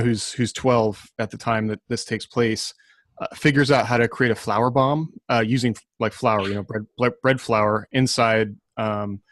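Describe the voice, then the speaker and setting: A man speaking as an author on a casual discussion panel, reflective and halting as he thinks aloud. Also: medium pitch, no room sound.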